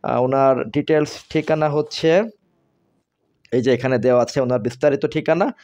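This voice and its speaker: A man with a Bangladeshi accent giving unscripted sales talk as a seller, explaining haltingly and repeating himself. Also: medium pitch, steady tone, a little mellow. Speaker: seller presenting products on video.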